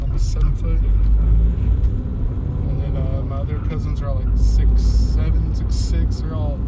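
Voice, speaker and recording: A man casually vlogging outdoors, rambling and restarting his sentences. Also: fairly high pitch. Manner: rambling, many fillers and restarts. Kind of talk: casual personal vlog monologue. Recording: distant mic, loud outdoor noise.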